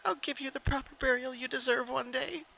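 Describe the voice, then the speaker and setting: A man voicing a frightened, grief-stricken young female cartoon pony in a tearful, breathy, pleading manner. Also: very high voice, steady tone, fairly quick, sharp tone, muffled recording, a little hiss or hum, pitched above the performer's own voice, full character voice. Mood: tearful, apologetic.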